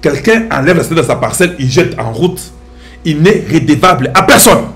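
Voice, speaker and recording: A man's voice: on the high side, big pitch swings, fairly quick, a bit bright, soft background music. A middle-aged man with a Congolese French accent giving impassioned, preachy social commentary to his viewers, full of rhetorical questions.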